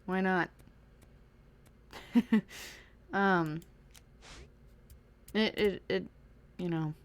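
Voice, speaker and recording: A woman's voice: fairly low pitch, drawn-out words. A young woman vlogging from her bedroom, telling a personal story in a hesitant way with many ums and restarts while she plays a driving game. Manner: hesitant, lots of ums and restarts, self-deprecating; talks while playing a driving video game. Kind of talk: casual personal story time.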